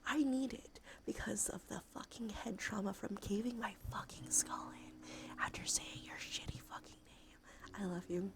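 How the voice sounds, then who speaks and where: Woman, soft ASMR whisper, gravelly fry, casual informal streamer chat. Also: medium pitch, normal speed. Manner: sweary, informal. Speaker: livestreamer and host.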